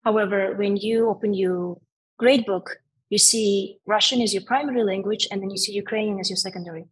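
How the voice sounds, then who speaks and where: A woman's voice: mid-range voice, fairly even intonation, fairly quick, a little hiss or hum, low-quality recording. A woman from a post-Soviet country hosting a podcast interview, explaining background at length before she asks her questions. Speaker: podcast host.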